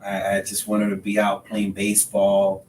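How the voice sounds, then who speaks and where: A man's voice: lowish voice, monotone, normal speed, a little mellow. A man, a Spanish speaker, answers casually as a podcast guest. He repeats words as he goes. The sound is very roomy and a bit far from the mic, with some faint noise. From Dominican Republic. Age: 30s. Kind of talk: casual interview conversation.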